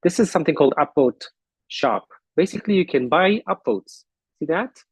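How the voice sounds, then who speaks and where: A man hosting an online business forum, talking casually and haltingly while he walks through his shared screen on a video call. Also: on the high side, expressive, breathy edge, dry recording. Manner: halting, thinking aloud with fillers and restarts.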